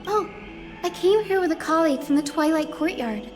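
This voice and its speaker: An actress playing a sweet, friendly, eager young girl in a video game, with a gentle manner. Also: very high voice, lively intonation, moderate pace, over music. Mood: cheerful, eager. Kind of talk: scripted video game dialogue.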